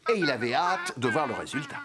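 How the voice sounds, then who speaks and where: A man narrating a cartoon in a gentle, even storytelling manner. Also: on the high side, a little fast, very noisy.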